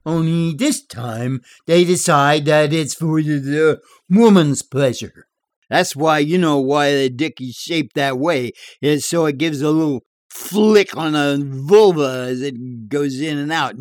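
A man's voice: a little high, measured pace, no room sound, clean studio sound, voice pushed up, natural voice. A man voicing a brash barroom joker in an audiobook, telling dirty yarns in an emphatic, drawling, slangy, conspiratorial way. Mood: hesitant, bawdy. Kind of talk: audiobook character dialogue.

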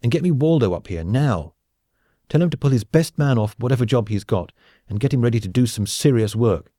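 A man's voice: medium pitch, animated, brisk, dark tone, dry recording, pitched above the performer's own voice, natural voice. Guy doing a spoiled rich heir for an audiobook, bossy and full of himself, dry sound. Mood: angry, commanding. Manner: bossy, demanding. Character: entitled, swaggering male rich heir, angry and demanding. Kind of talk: audiobook character acting.

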